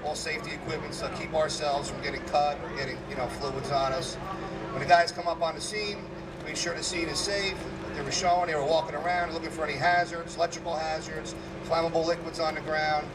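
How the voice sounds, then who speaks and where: A man, a fire captain giving a live demonstration talk with a strong, loud voice and many fillers. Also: on the high side, level tone, fairly quick. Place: outdoor parking lot. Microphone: handheld microphone to a PA. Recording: distant PA voice, loud outdoor noise.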